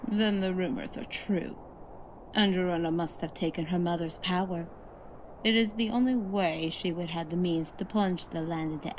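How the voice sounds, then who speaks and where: A woman's voice: lowish voice, a little mellow, slight background noise, low-quality recording. A woman playing a proud, hot-headed younger elf warrior in a fantasy roleplay, with a haughty and dramatic delivery. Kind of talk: fantasy audio roleplay acting.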